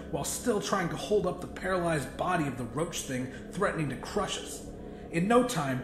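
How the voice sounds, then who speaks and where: High-voiced man narrating horror with intensity. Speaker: audiobook narrator. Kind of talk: dramatic scripted first-person horror narration. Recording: roomy echo, music behind.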